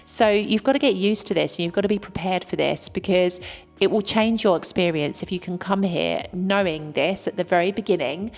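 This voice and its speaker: A British woman vlogs straight to camera, earnest and candid, with a lowish voice. She talks in long, flowing sentences, and faint music plays softly behind her. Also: a little fast. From Britain. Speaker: vlogger living abroad. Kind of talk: casual talk to camera. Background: faint background music.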